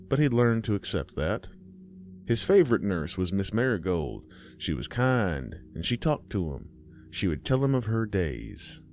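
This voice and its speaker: A man with a low, deep voice tells a scary story in a folksy, unhurried way. He talks right to the listener like a host by the fire. There is a faint hiss behind him. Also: a little mellow. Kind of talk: scripted horror story narration.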